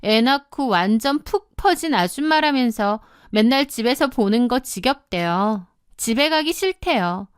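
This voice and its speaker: A woman voicing a brazen young mistress in an audio drama, calm, cheeky and mock-polite as she taunts a wife. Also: a little high, measured pace, clear, resonant tone, dry recording, clean studio sound. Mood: taunting, mock-calm.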